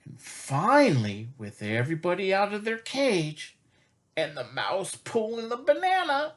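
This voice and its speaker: Male storytime reader for small kids: unhurried, lively pitch swings, chatty and questioning. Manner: expressive, chatty, asking questions as he reads. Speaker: coach reading to young children. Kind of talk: children's picture-book storytime.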